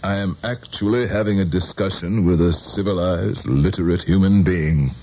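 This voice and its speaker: An actor playing a courteous, disillusioned teacher turned politician in a radio drama, with literate, formal speech. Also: male voice, deep voice, moderate pace, a little mellow. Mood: gracious, philosophical. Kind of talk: 1970s radio drama acting. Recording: faintly noisy old radio broadcast.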